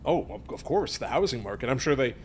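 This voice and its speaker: A man's voice: mid-range voice, big pitch swings, fast, slight background noise, close to an everyday voice. A man playing a smug, teasing friend who spins spooky tales in an audio drama. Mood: morbid, teasing. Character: smug, teasing male friend telling a gory local legend. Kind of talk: naturalistic audio drama acting.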